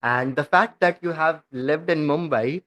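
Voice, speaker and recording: A man's voice: on the high side, measured pace, a bit bright. A man hosting a podcast interview, polite and formal, thanking his guest at length with some fillers. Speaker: podcast host.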